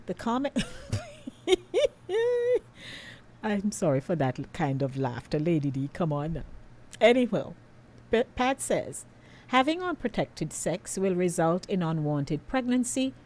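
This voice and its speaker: A radio host, a middle-aged woman, talks in a low voice. She takes her time over every phrase. Her chat is easygoing and often breaks into laughter. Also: a little mellow.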